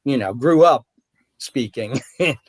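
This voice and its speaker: Relaxed man telling stories. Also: medium pitch, slow, no echo. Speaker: guest actor. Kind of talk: casual video call chat.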